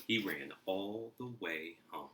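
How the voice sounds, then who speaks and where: A man reading a children's storybook aloud in an expressive, deliberate narrating style. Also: low voice, a little hiss or hum. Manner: expressive, deliberate, acts out sounds. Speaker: storybook reader.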